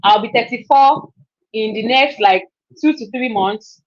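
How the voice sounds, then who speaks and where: Brief: woman, 30s, chatty live stream host, higher voice, dull lo-fi audio. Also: unhurried. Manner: chatty, repeats herself, laughs often. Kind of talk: casual live stream chat.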